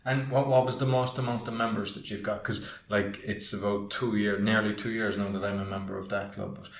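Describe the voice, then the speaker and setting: Low-voiced casual podcast host. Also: male voice, fairly even intonation, fairly quick, echoey room, slight background noise. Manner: casual, filler-heavy questions. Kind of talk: podcast interview.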